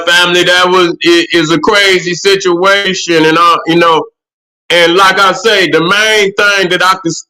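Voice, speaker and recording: High bright-voiced profane livestream host. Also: male voice, rough recording. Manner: profane, rambling, repeats "you know what I'm saying". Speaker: livestream host answering chat. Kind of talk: casual livestream commentary.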